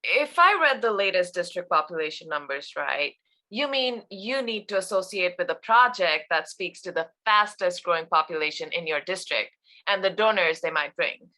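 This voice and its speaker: An actress plays a quick-witted female aide in a screen comedy. Her voice is bright and forward, a little on the low side. She delivers lines dryly, with deadpan sarcasm. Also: low-quality recording. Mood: dry, sardonic. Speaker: actor in a screenplay table read. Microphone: video call.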